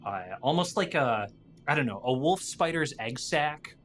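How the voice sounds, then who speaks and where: A man's voice: mid-range voice, expressive, normal speed, a bit bright, soft background music. A man chatting casually as a podcast guest, thinking aloud and telling improvised stories about game monsters.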